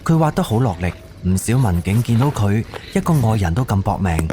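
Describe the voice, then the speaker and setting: A man's voice: fairly low pitch, very animated, brisk, background noise. A man with a Cantonese accent narrating a scripted story for an audio drama.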